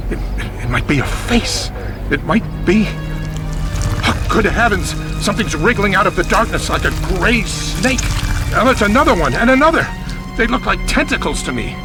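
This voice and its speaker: A man playing an earnest, excitable American radio news reporter in a drama, stammering as he describes the scene urgently. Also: on the high side. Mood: alarmed, awestruck.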